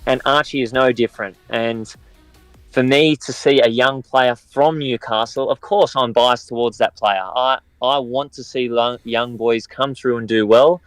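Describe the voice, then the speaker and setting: Measured Australian footballer, smooth voice. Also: male voice, medium pitch, steady tone, dry recording, faint music behind, poor audio. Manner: measured and reflective, many restarts. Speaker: professional footballer guest. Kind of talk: casual interview answers.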